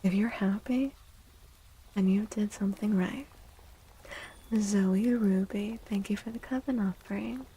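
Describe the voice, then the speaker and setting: A woman streaming soft-spoken ASMR tarot readings in a breathy half-whisper, gentle and encouraging. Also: medium pitch, measured pace, pauses often, low-quality recording.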